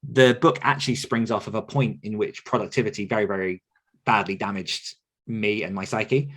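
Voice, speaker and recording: Male podcaster and coach, lower register, reflective, earnest, conversational delivery that circles back on itself. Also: fairly even intonation, moderate pace, a bit bright. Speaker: author coach and podcaster answering questions. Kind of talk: conversational question-and-answer talk.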